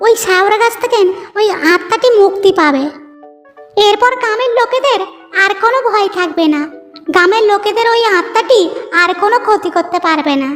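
A woman plays a plucky young village girl in a cartoon. Her voice is extremely high, earnest and deliberate, with determination in it and music underneath. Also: fairly bright tone. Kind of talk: children's cartoon dub.

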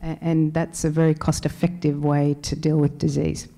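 A woman's voice: sits low, flat delivery, normal speed, warm tone. A woman speaking informally as a member of a discussion panel on stage. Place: large auditorium stage.

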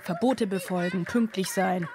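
A woman's voice: on the low side, normal speed, lightly acted. An actress playing a stressed, haunted single mother in a radio drama, speaking in intense clipped phrases. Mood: bitter, resigned. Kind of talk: radio drama inner monologue. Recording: music behind the voice.

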